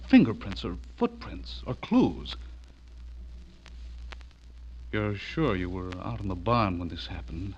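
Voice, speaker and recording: Intense low-voiced radio horror narrator, man. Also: slight background noise. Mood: probing, suspicious. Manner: theatrical, intense, suspenseful delivery. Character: earnest, rattled man narrating his own horror story. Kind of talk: dramatic first-person radio horror narration and dialogue. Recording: muffled radio drama over loud music.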